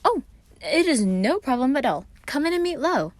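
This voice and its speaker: A woman's voice: high-pitched, very animated, moderate pace, dry recording, pitched above the performer's own voice. A woman voicing an eager, dramatic, exuberant young man, a fussy boyfriend, in an audio story. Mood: eager, cheerful.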